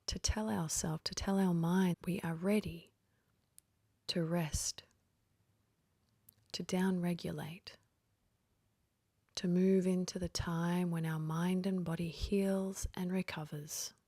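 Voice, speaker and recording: A woman guides a sleep meditation in a lowish voice that is very breathy and close to a whisper. She speaks very slowly and gently, letting each phrase settle before the next. The sound is dry and intimate, with no room around it. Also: fairly even intonation, dry recording. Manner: gentle, unhurried, with long pauses between phrases.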